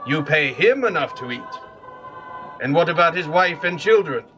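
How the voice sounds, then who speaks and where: A male actor voices a theatrical male spirit from an audio drama, mocking and full of lofty scorn. The voice sits a little high and delivers grand, insulting pronouncements. Music plays behind it, and the sound is somewhat dull. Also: animated, normal speed, close to an everyday voice. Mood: scornful. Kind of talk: audio play acting.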